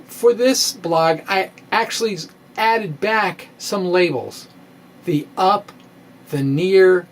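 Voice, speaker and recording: A middle-aged man presenting a science video blog in an informal, halting, self-deprecating way, with emphatic swings, close to a microphone in a room at home. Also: sits high, a little hiss or hum. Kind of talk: informal explanatory lecture to camera, part reading. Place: small room at home with bookshelves. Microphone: microphone on a stand close in front.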